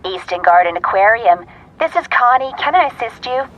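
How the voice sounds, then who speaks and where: A woman's voice: fairly high pitch, expressive, normal speed. A woman playing a chatty, helpful receptionist in a radio drama, heard through a telephone. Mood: chirpy, helpful. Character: chatty, helpful American female aquarium receptionist. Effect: telephone filter. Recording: band-limited telephone line.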